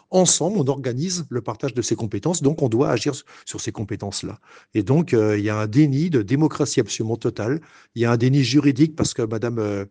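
A man's voice: mid-range voice, mellow. A man arguing in a political debate, pressing his points with rhetorical questions.

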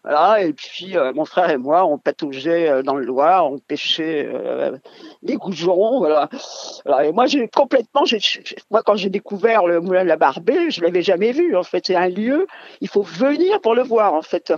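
An older woman from France, a mill owner guesting on a radio interview, speaking in a halting, rambling way full of fillers. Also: on the low side, lively intonation, darkish tone, no echo, muffled recording.

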